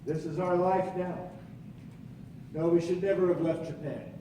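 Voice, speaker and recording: A man's voice: sits high, fairly even intonation, unhurried. Man playing bitter immigrant father, very echoey hall. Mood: bitter, wounded. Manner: rhetorical, indignant. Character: embittered Japanese immigrant father stripped of everything. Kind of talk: 1940s radio drama acting. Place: theatre stage, live performance. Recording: very echoey hall, distant, noisy.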